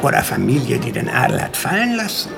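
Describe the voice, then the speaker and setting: An actor playing a jovial, blustering Prussian district official in a German radio play, speaking in clipped, condescendingly hearty exclamations. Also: male voice, medium pitch, sing-song, quick pace, gravelly. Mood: hearty admiration. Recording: music playing behind the voice.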